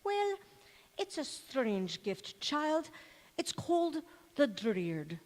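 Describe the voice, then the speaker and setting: A slow-spoken old maidservant played by a woman in a golden age radio play. She is dour but devoted, speaking with grave deliberation and a sense of foreboding. Her voice rings in a big reverberant hall. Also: sits high, big pitch swings, exaggerated voice. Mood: solemn, mysterious. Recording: echoey church hall stage recording.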